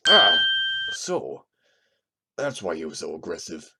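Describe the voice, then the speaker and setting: A man playing a gruff, boastful wolf witness with a sneering, blunt manner in an audio drama. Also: deep voice, wildly swooping pitch, slow pace, very noisy, heavily acted. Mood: grave. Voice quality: gravelly. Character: gruff, hot-tempered, boastful, insulting male wolf witness. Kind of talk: amateur audio drama acting.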